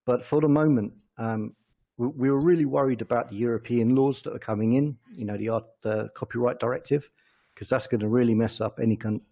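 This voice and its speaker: English guy being interviewed, gravelly creaky voice, stop-start casual answers. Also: fairly low pitch, dark tone. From England. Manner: halting, self-correcting. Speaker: interview guest, company chief executive.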